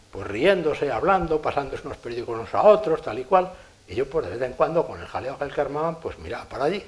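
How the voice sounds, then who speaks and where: A man with a Spanish accent from Spain giving a conference lecture, telling lively anecdotes and chuckling at his own stories. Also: medium pitch. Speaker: engineer giving a conference talk. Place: lecture hall.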